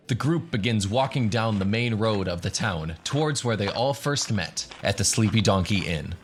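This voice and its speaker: A man narrating a scripted fantasy radio drama. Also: on the low side. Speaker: narrator, game master.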